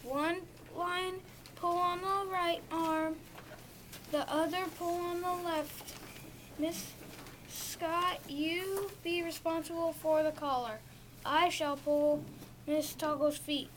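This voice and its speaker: A young reader playing a stern, rule-bound male school principal in a readers theatre, reading slowly and deliberately. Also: extremely high pitch, fairly even intonation, a bit bright, full character voice. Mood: stern, official. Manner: slow, deliberate child's reading aloud. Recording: noisy school room recording.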